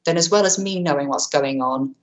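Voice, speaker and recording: Woman, low register, webinar presenter; brisk, chatty, self-correcting delivery on a webcam mic. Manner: quick, chatty, self-correcting, many fillers; says she has a powerful voice. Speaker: webinar presenter on vulnerable clients. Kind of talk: online training talk.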